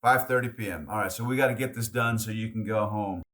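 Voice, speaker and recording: A man hosting a podcast interview, asking guiding questions in a friendly, relaxed way. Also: fairly low pitch, fast talker. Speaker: podcast host. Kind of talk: conversational interviewing.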